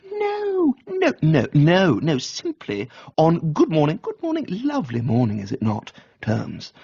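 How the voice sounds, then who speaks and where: A man voicing an amiable, foppish young gentleman with an upper-class English accent, chirpy and easily flustered, in a comic reading. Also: a little high, wildly swooping pitch, measured pace, gravelly, husky, highs cut off, pitched above the performer's own voice, exaggerated voice. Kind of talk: dramatised comic audiobook reading.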